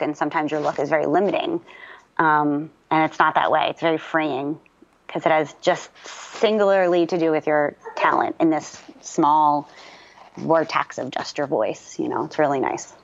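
A woman with a low, deep speaking voice talks about her work as a voice actor in a casual interview. She is energetic and talkative and fills her speech with "um" and "you know." The recording sounds a little dull and muffled. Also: moderate pace. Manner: lively and talkative, with many fillers.

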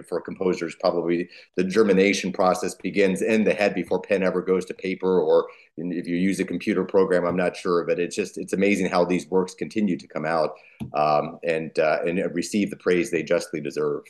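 Low deep guy on an interview, kinda formal wording, really earnest and effusive. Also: steady tone, a little fast, a little mellow. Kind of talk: interview conversation.

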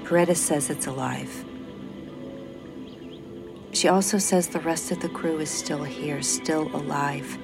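A worn-down female mission officer stranded in space, earnest and protective of her crew. Her voice sits very low and breaks into creaky fry. It is an audio drama performance with music clearly underneath. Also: fairly even intonation, takes it slowly, pauses often, heavily acted. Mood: subdued, uneasy. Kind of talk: audio drama acting, logged report monologue.

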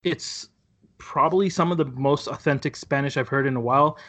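A man chatting casually about a TV show, rambling and halting with many restarts and fillers. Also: medium pitch, fairly bright tone. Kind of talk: casual chat reviewing a TV show.

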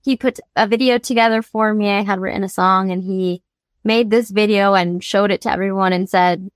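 A woman's voice: medium pitch, steady tone, normal speed, clean tone, no echo, studio quality. A young woman from the United States, a singer-songwriter guest, telling her life story candidly and earnestly, laughing easily as she talks.

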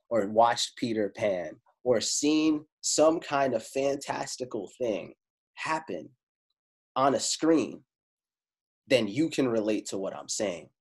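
Husky, laid-back male spiritual speaker. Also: medium pitch, a little mellow. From Italy. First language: Italian. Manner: casual and profane, slows down for emphasis. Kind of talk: casual storytelling talk.

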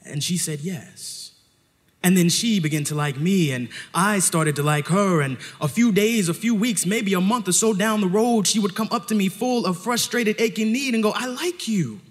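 Male live storyteller, higher-pitched, theatrical and emphatic, dramatic pauses, long hall reverb. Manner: poetic, elevated phrasing mixed with slang. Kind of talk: live personal storytelling performance. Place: live stage show in a hall.

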